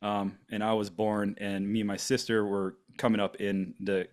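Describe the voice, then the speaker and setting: A man's voice: lowish voice, little pitch movement, moderate pace. A man in his forties from Germany, whose first language is German, telling his story on a podcast in a rambling way with many fillers and restarts. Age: 40s. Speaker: podcast co-host. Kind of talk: casual podcast conversation.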